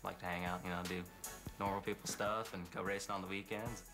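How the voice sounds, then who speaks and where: Laid-back young male contestant, very deep, monotone. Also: fairly quick, over music. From Kansas.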